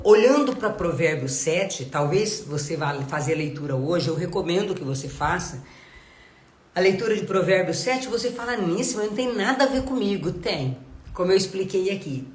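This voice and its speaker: A woman's voice: lowish voice, reverberant. A woman giving a live devotional Bible talk, nasal and congested from a cold, earnest and full of rhetorical questions. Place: home.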